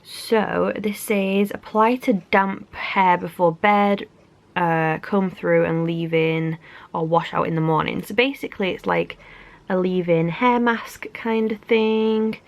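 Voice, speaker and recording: A woman's voice: fairly low pitch, fairly even intonation, slow. Young woman with a congested cold voice. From England. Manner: chatty, lots of fillers and likes. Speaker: beauty vlogger. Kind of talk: casual unboxing chat.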